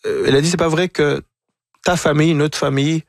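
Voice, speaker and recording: A man, a guest on a talk show, speaking reflectively and haltingly, often repeating words as he searches for them. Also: a little high, steady tone, dry recording, clean studio sound. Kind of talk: reflective conversation.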